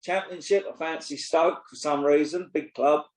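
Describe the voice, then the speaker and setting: A man's voice: fairly high pitch, steady tone, moderate pace, darkish tone. A man, co-hosting a casual football podcast, blunt and opinionated, swearing freely. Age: 60s. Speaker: podcast co-host and pundit. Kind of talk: casual chat. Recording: roomy echo.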